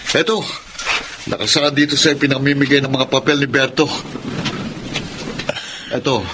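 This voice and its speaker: A man's voice: mid-range voice, steady tone, moderate pace, background noise, natural voice. A man with a Filipino accent acting an anxious, defensive fisherman in a radio drama, urgent and pleading.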